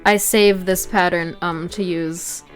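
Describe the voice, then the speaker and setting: A woman's voice: lowish voice, steady tone, takes it slowly. A woman chatting informally as an illustrator talking through her own drawing process. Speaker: illustrator, video creator. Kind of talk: casual chat over a speedpaint. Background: music.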